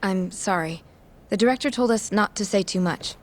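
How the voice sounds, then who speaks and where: A woman's voice: mid-range voice, moderate pace, a little hiss or hum, natural voice. An actress playing a hesitant, polite female hospital worker in a video game.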